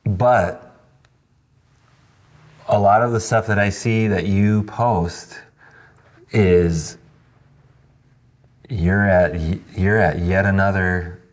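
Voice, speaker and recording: A man in his early fifties hosting a casual interview, informal and blunt, with halting phrasing. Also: sits low, fairly even intonation. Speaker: podcast host.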